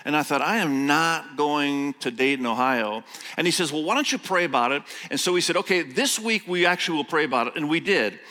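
A middle-aged man who grew up in Indonesia, with Indonesian as his first language, preaching a sermon as a guest pastor, telling stories and reading scripture slowly with emphasis. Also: on the high side, sharp tone. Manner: storytelling, slow emphatic scripture reading, quick asides. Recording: long church hall reverb.

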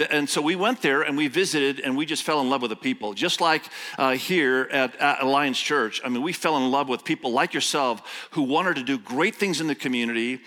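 A middle-aged man who grew up in Indonesia, with Indonesian as his first language, preaching a sermon as a guest pastor, telling stories and reading scripture slowly with emphasis. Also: fairly high pitch, sharp tone. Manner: storytelling, slow emphatic scripture reading, quick asides. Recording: long church hall reverb.

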